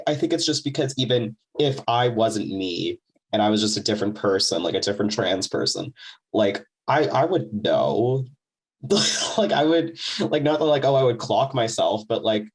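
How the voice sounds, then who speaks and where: A young man talking as a podcast guest in a casual, rambling way, full of fillers and quick laughs, with a deep voice. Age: young adult. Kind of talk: casual conversation.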